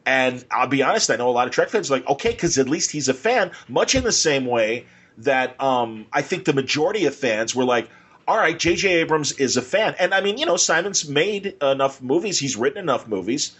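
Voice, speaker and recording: A man's voice: medium pitch, big pitch swings, fairly quick, a bit bright, slightly rough. A man hosting a podcast, chatting casually about films in an enthusiastic, rambling way and slipping into imagined quotes. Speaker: podcast host.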